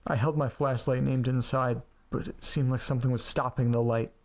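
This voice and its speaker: An actor playing a quirky, scatterbrained man in an audio drama, talking in a casual, halting way. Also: medium pitch, steady tone, lightly acted. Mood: grave, haunted. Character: quirky, scatterbrained man living with pets and old letters. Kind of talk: audio drama acting, first-person narration and casual dialogue.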